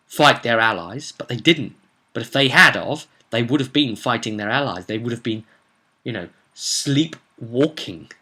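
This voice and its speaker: A man's voice: mid-range voice, pushed and bright. A man narrating a tabletop roleplay story as game master, casual but dramatic, letting phrases trail off. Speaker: game master and vidcast host.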